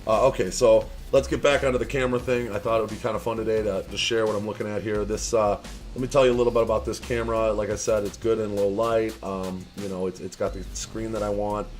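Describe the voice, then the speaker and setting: A man's voice: fairly low pitch, fairly even intonation, quick pace, soft background music. A young man from the Chicago area, vlogging casually and rambling with many fillers and restarts as he asks viewers for advice. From Chicago area, USA. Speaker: vlogger and online business owner. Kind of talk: casual talk to camera.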